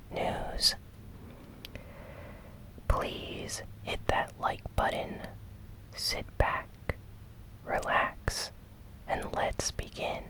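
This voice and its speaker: Asmr host doing news recap, all whispered, super slow and gentle. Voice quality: breathy, unvoiced whisper. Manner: slow, gentle, deliberate delivery with short phrases. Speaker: host of a gaming news show.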